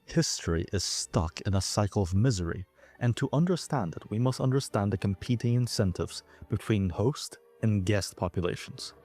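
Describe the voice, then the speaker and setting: A man giving measured, scripted documentary narration. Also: on the low side, expressive, darkish tone, faint music behind. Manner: measured, explanatory.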